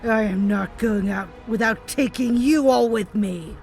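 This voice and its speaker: A woman's voice: fairly high pitch, a little slow, gravelly, a little mellow. A woman playing a feral, menacing doctor supervillain with water powers in a superhero audio drama, delivered dramatically. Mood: vengeful. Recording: music behind.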